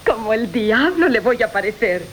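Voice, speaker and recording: An actress playing a coquettish, greedy young woman who feigns modesty in a Spanish radio farce, with a Castilian accent. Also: on the high side. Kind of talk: 1980 Spanish radio theatre acting of classic farce. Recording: faint background noise, old radio broadcast.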